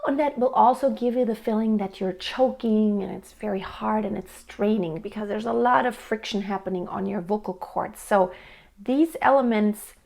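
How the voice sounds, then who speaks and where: A woman's voice: medium pitch, normal speed, vocal fry, a little mellow. A woman teaching singing, explaining technique in a friendly, patient way and answering a viewer's question. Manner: friendly, explanatory, with sung demonstrations. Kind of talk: vocal coaching tips to camera.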